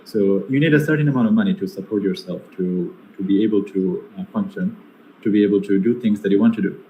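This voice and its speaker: A man's voice: on the low side, fast, muffled recording. A young man from Bangladesh with a Bangladeshi accent, answering seminar questions with many fillers and restarts. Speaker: guest speaker. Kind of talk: online seminar Q&A. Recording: echoey room with faint noise.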